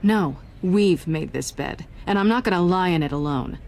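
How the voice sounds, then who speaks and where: A woman playing a sly, flirtatious, self-assured thief in a video game, with a teasing, sultry, deliberate delivery. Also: fairly low pitch, darkish tone, slight background noise, close to an everyday voice. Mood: pressing, cool.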